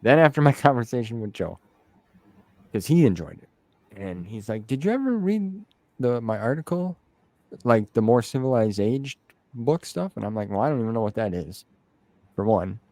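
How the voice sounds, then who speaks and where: A man's voice: medium pitch, expressive, moderate pace, pauses often, darkish tone, dry recording. A man hosting a casual interview, talking in an informal, rambling way peppered with slang like "man" and "dude". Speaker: interview host. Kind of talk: casual conversational interview chat.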